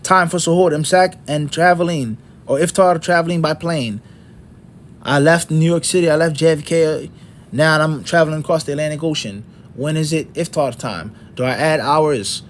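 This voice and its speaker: A man's voice: a little high, normal speed, slight background noise. A middle-aged man teaching an Islamic lesson, working through points one by one and asking rhetorical questions. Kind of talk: lecture.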